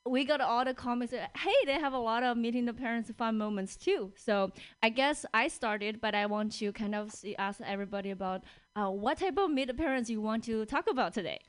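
A woman from China hosts a relaxed talk show with a fairly high voice. She talks loosely, laughing often and filling gaps with ums. The sound is dry and close. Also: brisk, clean tone, no room sound. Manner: laughs often, many fillers. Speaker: podcast host. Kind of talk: casual chat. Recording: dry radio booth.

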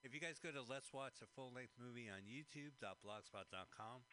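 A man's voice: mid-range voice, fairly quick, pushed and bright, a little hiss or hum. A man co-hosting a radio show, chatting casually and jokily about a film he is watching. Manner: jokey, rambling, chuckling asides. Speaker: radio co-host. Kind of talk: casual movie commentary chat.

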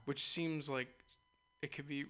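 A man's voice: on the high side, fairly even intonation, a little slow, fairly bright tone. A man hosting a radio interview show, chatting loosely in a rambling way and laughing easily. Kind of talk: casual interview chat.

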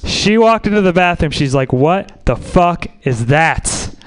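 A man's voice: on the high side, animated, unhurried, a little hiss or hum. A man doing a loose, crude stand-up set at an open mic. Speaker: stand-up comedian.

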